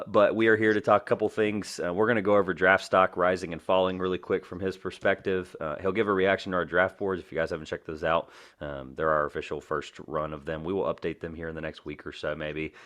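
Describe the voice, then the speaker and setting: Man, deep voice, podcast host, informal, self-deprecating, casual sports chat. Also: flat delivery, fast, hardly any pauses, a bit bright. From North Carolina, US.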